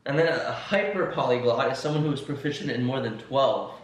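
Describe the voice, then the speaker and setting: A man's voice: mid-range voice, brisk. A young man from the United States hosting a casual podcast, chatty and playful with lots of fillers. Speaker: podcast host interviewing his co-host. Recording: echoey room, distant mic.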